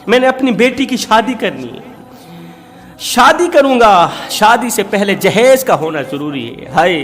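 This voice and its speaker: Guy giving a dramatic sermon story, pretty high voice, repeats lines for emphasis, loads of reverb. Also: fairly bright tone. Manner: emphatic, rhetorical repetition; dramatic, emotive delivery. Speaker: Islamic preacher and moral storyteller. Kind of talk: Urdu-style religious sermon storytelling, oratory. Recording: long hall-like reverb on voice.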